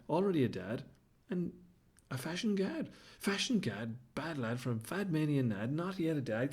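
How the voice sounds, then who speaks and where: A man plays a grandiose baboon from a storybook, obsessed with fashion and fond of his own rhyming speeches. The voice sits high and husky. It swoops up and down in fussy, theatrical flourishes. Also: darkish tone, heavily acted. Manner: pompous, sing-song rhyming, fussy and dramatic. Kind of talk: storybook character voice.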